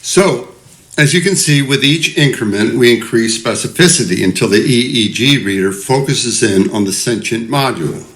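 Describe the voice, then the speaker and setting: An actor playing a middle-aged, married neuroscience professor, wry and insistent, in an audio drama. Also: male voice, medium pitch, lively intonation, moderate pace, a bit bright. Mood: lecturing.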